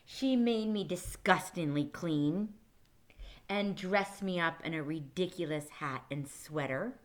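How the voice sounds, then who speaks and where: A woman's voice: fairly low pitch, slow pace. A woman voicing a small furry male beast in a picture book, aggrieved, emphatic and theatrical. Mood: indignant.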